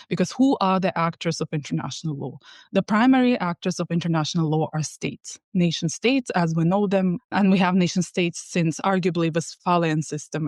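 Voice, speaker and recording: A woman's voice: lowish voice, darkish tone, no room sound. Thoughtful woman with a dry, close sound. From Turkmenistan. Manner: thoughtful, explanatory, with frequent restarts. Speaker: guest, academic. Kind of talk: podcast discussion.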